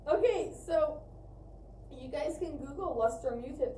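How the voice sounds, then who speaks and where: Woman, chatty informal co-host, slightly high voice, distant mic with faint noise. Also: lively intonation, normal speed, dark tone, echoey room. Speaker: co-host of a fiber arts livestream. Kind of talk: casual chat, explaining to viewers.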